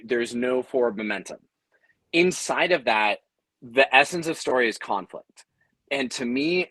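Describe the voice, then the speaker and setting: A man's voice: mid-range voice, fairly bright tone, dry recording, poor audio. Thoughtful man with a dry, close sound. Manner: thoughtful, halting, repeats words as they think aloud. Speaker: audio drama creator, panelist. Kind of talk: conversational panel discussion.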